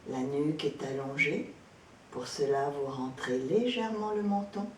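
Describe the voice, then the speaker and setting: A woman with a low voice guides a relaxation session in a calm, gentle manner. She takes her time, leaving pauses between short instructions. The sound is echoey, with some noise and rumble behind her. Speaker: yoga nidra instructor. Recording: roomy echo, distant mic, background noise, rumble.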